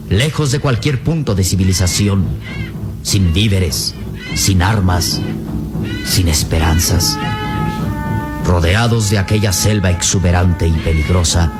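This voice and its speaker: A man narrating an adventure radio serial from a script, grave, emphatic and theatrical. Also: deep voice. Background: dramatic music.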